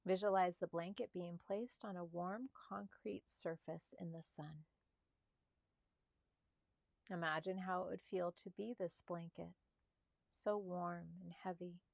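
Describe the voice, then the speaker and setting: A woman guiding a meditation in a soft, slightly breathy voice, speaking calmly and soothingly in short, spaced phrases. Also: on the low side, unhurried, pauses often, no echo. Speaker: meditation guide. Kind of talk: guided meditation narration.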